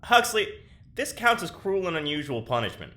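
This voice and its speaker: Very animated bright male voice, wry complaining test subject, sardonic, audio drama. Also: fairly high pitch, moderate pace. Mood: sardonic protest. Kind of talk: scripted audio drama dialogue.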